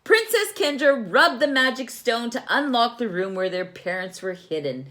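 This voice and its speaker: A woman reading a children's story aloud in a teacherly manner, pausing to put questions to young listeners and explain things. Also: fairly high pitch, animated, pushed and bright.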